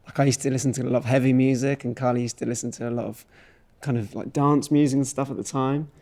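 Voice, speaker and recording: A man's voice: mid-range voice, fairly even intonation, fast talker, warm tone. A man, a folk musician, chatting casually in an interview in a thoughtful, slightly hesitant way. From England. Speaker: folk musician, singer and guitarist.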